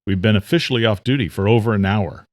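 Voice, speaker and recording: A man's voice: fairly low pitch, quick pace, darkish tone, no room sound, close to an everyday voice. Man acting a hearty, fatherly veteran cop. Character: fatherly veteran policeman, hearty, proud, gently prodding.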